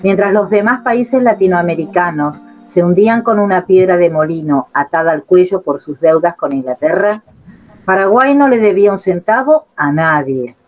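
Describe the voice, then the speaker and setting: Low-voiced woman narrator. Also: animated, moderate pace, lo-fi sound, faint music behind. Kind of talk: radio drama narration.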